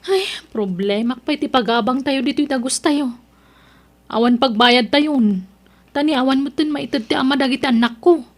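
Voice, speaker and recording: A woman's voice: high-pitched, normal speed. A woman playing a struggling single mother who sells vegetables on the street, plaintive, in an Ilocano-accented audio drama. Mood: tearful, pleading.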